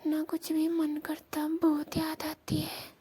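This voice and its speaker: Woman acting hushed, high-voiced shy young village lover. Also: completely flat delivery, slow pace. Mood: wistful, pleading. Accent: Rajasthani. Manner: hushed, speaking low so neighbours will not hear. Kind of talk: intimate romantic phone conversation, acted as a prank call recording. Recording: noisy phone call recording.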